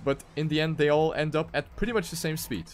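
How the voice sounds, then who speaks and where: A man's voice: a little high, quick pace, soft background music. A man giving live commentary on a racing video game as an esports caster.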